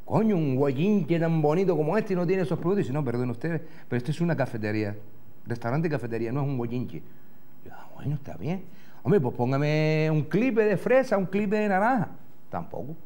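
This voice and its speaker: A man's voice: a little high, lively intonation, fairly quick, dark tone. A middle-aged man with a Canarian Spanish accent telling a rambling comic story as a TV host, swearing and doing the other people's voices, on a lapel mic in a studio. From Gran Canaria. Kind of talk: comic monologue in character. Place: TV studio in front of a backdrop.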